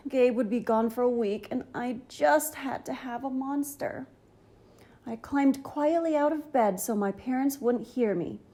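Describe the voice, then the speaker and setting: A woman's voice: a little high, dark tone. A woman reading a children's story aloud in an enthusiastic, dramatic narrating style. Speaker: storybook reader.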